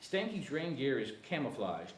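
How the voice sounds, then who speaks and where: A man's voice: mid-range voice, sing-song, moderate pace. A man narrating screenplay directions at a table read, sometimes stumbling over the text. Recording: echoey room, faint noise.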